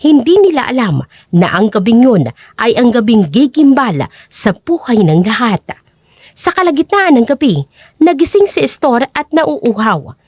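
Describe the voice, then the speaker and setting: A woman's voice: on the high side, sing-song, unhurried, a little mellow, husky, no room sound, clean studio sound. A woman narrating a scripted dramatic story as the narrator of an audio drama.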